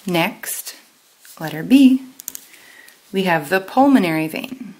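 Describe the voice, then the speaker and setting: A woman teaching a step-by-step lesson in a deliberate, instructional way, pausing and stressing key terms. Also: lowish voice, animated, a little mellow, rough recording. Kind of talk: step-by-step lesson with pauses for note-taking.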